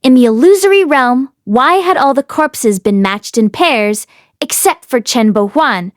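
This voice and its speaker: A woman narrates an audiobook in a fairly high voice. Her delivery is expressive, scripted storytelling. The sound is dry, with no room echo. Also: unhurried. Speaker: audiobook reader and host.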